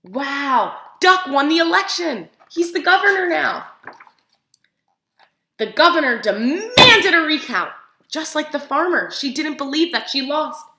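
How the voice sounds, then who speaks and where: A woman teacher reads a picture book aloud to preschoolers in an animated, expressive way, using simple phrases and putting questions to her young listeners. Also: sits high, unhurried, slight background noise. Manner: animated, expressive, simple child-directed phrasing with gasps for effect. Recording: echoey room sound.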